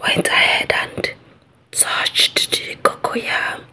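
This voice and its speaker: Very slow whispering African woman storyteller. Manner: hushed, drawn-out, deliberate delivery. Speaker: ASMR storyteller. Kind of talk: whispered ASMR folktale narration.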